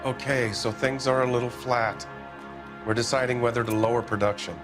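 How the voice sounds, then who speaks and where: An actor playing a pompous, evasive middle-aged factory owner who blusters and dodges questions, with music behind him. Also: male voice, mid-range voice, steady tone, a little fast, lightly acted. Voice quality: blustering, defensive. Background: music score.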